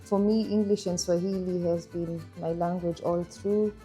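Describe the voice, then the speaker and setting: A young woman from Kenya, chatting casually about her marriage, animated and laughing now and then. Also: lowish voice, moderate pace, faint music behind. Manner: animated, laughs while telling stories. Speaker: interviewee, wife in a couple. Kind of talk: casual conversational storytelling.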